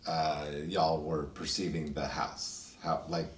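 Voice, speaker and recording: A man's voice: a bass voice, flat delivery, takes it slowly. A male cast member talking enthusiastically at a roundtable, rambling with lots of fillers. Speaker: voice actor in the cast. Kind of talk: casual roundtable chat. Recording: echoey room, distant mic, faint noise.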